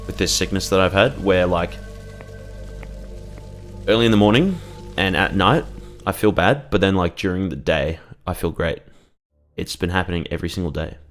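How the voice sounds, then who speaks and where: A man streaming a game, talking casually and rambling, with sarcastic jokes between his comments. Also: low voice. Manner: joking, rambling, sarcastic asides. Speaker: gaming streamer.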